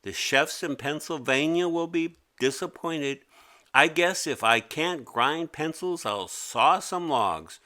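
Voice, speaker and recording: A man's voice: fairly high pitch, takes it slowly, sharp tone, voice pushed up. A man voicing a small, mischievous talking pencil creature from a children's storybook, teasing in a theatrical way. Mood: smug teasing. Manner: taunting, theatrical.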